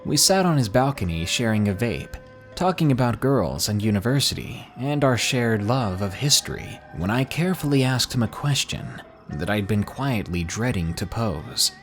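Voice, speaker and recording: A man narrates a ghost story in a measured, steady storytelling voice. His pitch sits on the low side. Faint music plays quietly under his reading. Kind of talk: scripted horror story narration.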